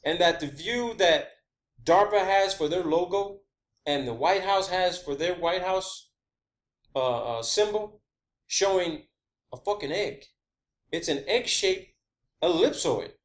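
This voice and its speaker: A man's voice: sits high, lively intonation, slow, pauses often. A man delivering an informal, rambling science-theory monologue, emphatic and sweary, often repeating his phrases. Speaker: online video host.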